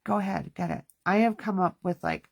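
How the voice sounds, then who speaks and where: A woman's voice: lowish voice, very animated, fairly quick, vocal fry, husky, dry recording, clean studio sound. Stuffy-nosed woman chatting through a craft demo. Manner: chatty, self-talking while working. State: stuffy nose. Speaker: craft class host. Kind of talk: casual hands-on craft demonstration.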